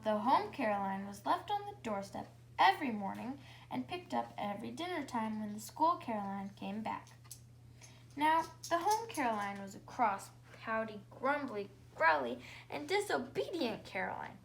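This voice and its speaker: Female story-time reader for kids, high-pitched with some creak, light noise behind. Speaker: children's story reader and host. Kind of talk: read-aloud bedtime story for children. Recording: faint background noise.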